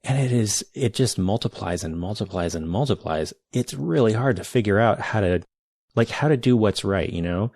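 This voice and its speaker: A man's voice: on the low side, expressive, moderate pace, darkish tone, no echo, clean studio sound. A man hosting a podcast interview, enthusiastic and searching for words with frequent restarts. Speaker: podcast host. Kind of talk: casual interview.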